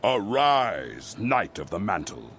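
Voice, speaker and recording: An actor playing a solemn leader of a religious order in a video game, speaking in a stately, ceremonial way. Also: male voice, fairly low pitch, big pitch swings, slow pace, background noise. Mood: solemn, grateful. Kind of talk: video game cutscene acting.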